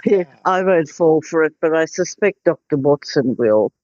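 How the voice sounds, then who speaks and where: A man's voice: fairly high pitch, animated, moderate pace, a little mellow. A man chatting casually on an online call, giving an informal report as a participant. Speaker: meeting participant reporting from a trip. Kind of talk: casual chat. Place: online video meeting. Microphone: video call. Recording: slightly dull online call audio.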